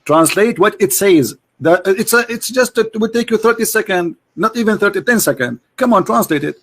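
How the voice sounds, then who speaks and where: A man's voice: high voice, animated, normal speed, fairly bright tone. A man hosting a confrontational debate, pressing his guest with repeated questions in non-native English, often stumbling over repeated words. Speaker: debate host.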